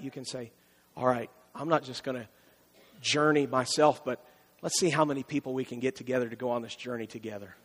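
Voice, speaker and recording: A man's voice: fairly high pitch, fairly bright tone, long reverb tail. A man preaching a sermon as pastor, in a conversational style that repeats phrases and rises for emphasis.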